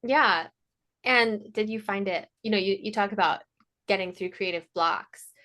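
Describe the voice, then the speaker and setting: A woman's voice: mid-range voice, unhurried, bright, forceful tone. A woman hosting a podcast, asking thoughtful questions and giving short affirmations. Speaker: podcast host. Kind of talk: interview questions.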